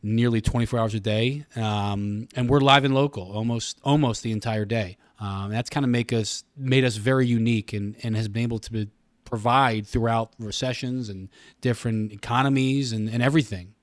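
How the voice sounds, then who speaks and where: A man's voice: medium pitch, fairly even intonation, moderate pace, no echo, clean studio sound. An American man in his thirties explaining things in a chatty, wordy way as a guest in a casual interview. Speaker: radio station communications director, interview guest.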